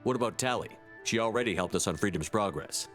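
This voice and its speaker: An actor playing a resolute, blunt space marine commander in a sci-fi game, speaking firmly and directly. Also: male voice, lowish voice, fast, a bit bright, soft background music, close to an everyday voice. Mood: determined, questioning. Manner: firm, direct. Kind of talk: cinematic sci-fi game dialogue.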